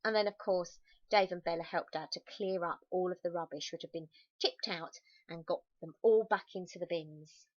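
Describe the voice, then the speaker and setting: A woman's voice: lowish voice, moderate pace, no echo, lo-fi sound. A woman reading a children's picture book aloud expressively, with chatty asides to young listeners. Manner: animated, exclaiming, addressing young listeners. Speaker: storybook reader.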